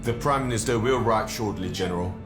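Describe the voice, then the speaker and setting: A man's voice: fairly low pitch, fast, lightly acted. An actor playing a dutiful army colonel reporting formally to his superior in a period film. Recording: echoey room, loud music behind.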